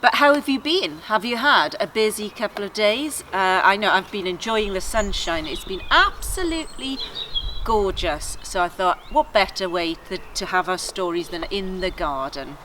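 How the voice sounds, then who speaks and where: A woman's voice: mid-range voice, expressive, normal speed, bright, forceful tone. A woman reading children's stories outdoors in a garden, chatting informally and narrating expressively. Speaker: children's story reader. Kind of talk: friendly chat and expressive storybook narration. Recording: faint outdoor garden noise.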